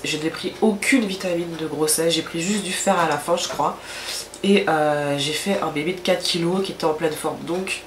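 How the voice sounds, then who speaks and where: A woman's voice: fairly low pitch, roomy sound, a little hiss or hum. A woman with a stuffy, nasal voice from a cold, chatting casually to camera in a rambling way with many hesitations. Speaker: vlogger.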